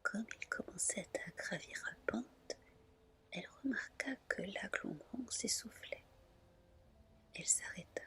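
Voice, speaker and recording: A woman softly and gently reading a fairy tale as an ASMR storyteller. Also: medium pitch, animated. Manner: soft, gentle, unhurried narration.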